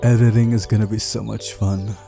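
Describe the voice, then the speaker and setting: A man hosting a game playthrough, reading visual novel narration aloud in a mellow voice. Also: lowish voice, flat delivery, brisk, creaky, soft background music. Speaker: gaming video host.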